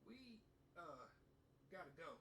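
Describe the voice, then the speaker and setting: A noisy, echoing recording of a man as a casual teenage hero in an audio drama. He grumbles in a high-pitched male voice. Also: big pitch swings, slow pace. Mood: grumbling. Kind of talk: amateur audio drama acting. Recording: very noisy, echoey, distant.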